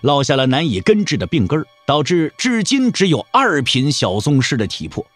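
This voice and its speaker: A man's voice: fairly high pitch, big pitch swings, moderate pace, dry recording, faint music behind. A man narrating a martial arts audio drama in a dramatic, emphatic storytelling style.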